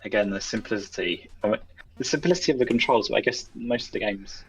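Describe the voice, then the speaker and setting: A man's voice: fairly low pitch, quick pace, breathy edge, muffled recording. A man chatting casually as an interview guest, a game developer who talks in a hesitant, self-effacing, rambling way. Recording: distant mic with music behind. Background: music.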